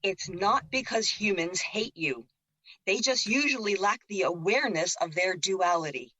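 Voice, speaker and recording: A woman's voice: medium pitch, moderate pace, pushed and bright, husky, no room sound, dull audio. A woman playing the Moon as a teasing, wise and reassuring spirit in an audio drama, with a playful, counselling way of talking.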